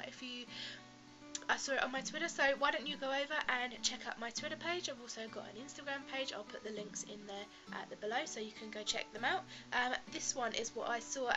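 A woman, bunged up with a cold, chatting through a beauty box review to her webcam in her bedroom in a friendly, rambling way. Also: a little high. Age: 20s. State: congested from a cold, stuffy nasal voice. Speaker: beauty vlogger. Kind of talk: casual product review to camera. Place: bedroom, indoors. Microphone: laptop webcam at close range.

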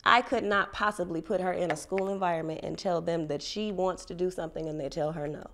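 Emphatic woman with a gravelly voice. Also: lowish voice, steady tone, brisk, fairly bright tone. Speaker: homeschooling parent. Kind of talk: interview.

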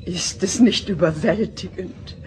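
A woman's voice: on the low side, a little mellow, close to an everyday voice. Woman doing gushy effusive groom's mom, drags her words out, music behind, radio play. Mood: gushing. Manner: drawn-out, gushing. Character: gushing, effusive mother of the groom. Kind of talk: German radio play acting.

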